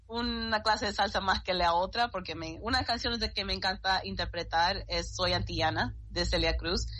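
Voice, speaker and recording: A woman's voice: on the low side, fairly bright tone, a little hiss or hum, low-quality recording. A woman, a salsa singer, answering interview questions in a chatty way with many fillers and English words dropped in.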